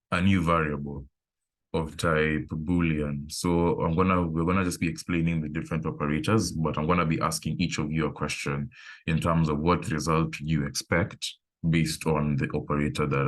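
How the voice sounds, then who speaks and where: Very deep-voiced man teaching code. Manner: relaxed, thinking aloud with pauses and fillers. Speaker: coding instructor. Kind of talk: live online coding lesson.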